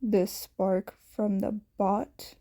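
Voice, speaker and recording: A woman voicing a deadpan, exasperated male hero and protective father in a flat, curt manner, as in an audio story. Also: medium pitch, extremely slow.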